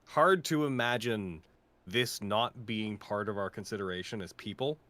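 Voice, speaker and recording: Low-voiced man, earnest and rambling. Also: normal speed, sharp tone. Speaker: cast member of an audio drama. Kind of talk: casual heartfelt panel chat.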